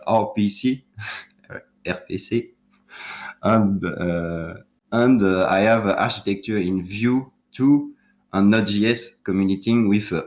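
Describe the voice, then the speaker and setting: Halting low-voiced man. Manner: hesitant, many fillers, limited English. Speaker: notary node candidate. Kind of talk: halting self-introduction. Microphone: voice call.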